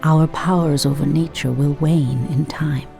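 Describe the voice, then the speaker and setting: Creaky, haughty elven priestess. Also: female voice, low voice, steady tone, a little slow, dark tone, faint music behind. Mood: grave, proud. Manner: imperious, formal. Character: haughty, stern female Night Elf high priestess. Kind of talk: dramatic video game cinematic acting.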